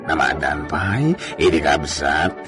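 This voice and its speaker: A flattering, probing gentleman in an Ilocano-accented radio drama. His deep voice drawls slowly and theatrically, with clear music behind it. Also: exaggerated voice.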